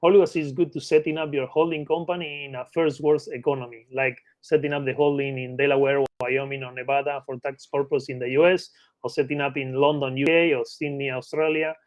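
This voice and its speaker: A man's voice: mid-range voice, flat delivery, brisk, no echo. Argentine man, tags "right?" on his phrases. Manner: ends phrases with a questioning "right?". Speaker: guest, M&A expert. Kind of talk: casual interview talk.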